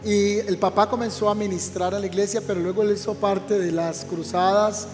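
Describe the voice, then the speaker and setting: A man's voice: high voice, level tone, normal speed, big-room sound. A man, a pastor, interviewing a guest in a church program with an encouraging pastoral manner.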